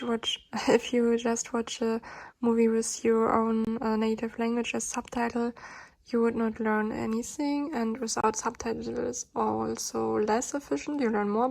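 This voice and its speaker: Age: adult. Sex: woman. Native: German. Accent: German. Pitch: slightly high and flat. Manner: hesitant. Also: creaky. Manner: hesitant, many fillers and restarts. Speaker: language learner vlogger. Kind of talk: casual tutorial explanation.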